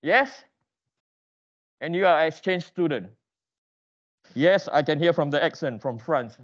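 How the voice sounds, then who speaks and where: Animated lecturer prodding a class, dull recording. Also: medium pitch, measured pace. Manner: prodding, emphatic, repeats questions and key phrases. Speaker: university engineering lecturer. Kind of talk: interactive classroom lecture, quizzing students with questions.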